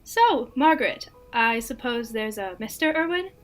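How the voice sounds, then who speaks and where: A woman plays a genteel housewife of the 1950s in an audio drama, smoothly forward and flirtatious. Her voice is on the high side, gracious and coy, with faint music underneath. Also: animated, normal speed, close to an everyday voice. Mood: coy, probing. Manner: coy, gracious, leading questions.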